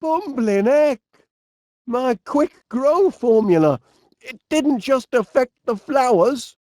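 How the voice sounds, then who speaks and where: A genial, bumbling middle-aged English inventor for a comedy video game. He has a Northern English accent and takes his time over his words, with pitch that swoops about. He is eager yet easily flustered and hesitant, recorded in a very dry studio sound. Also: animated, slow pace, darkish tone, dry recording, exaggerated voice. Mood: alarmed. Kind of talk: animated comedy game voice acting.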